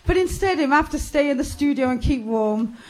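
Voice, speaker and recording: An indignant man, acted out by a woman reading a story. The voice is high and insistent, telling the tale in rolling patois. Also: animated, fairly quick, rough recording. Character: indignant Jamaican man, a band member recounting a mishap.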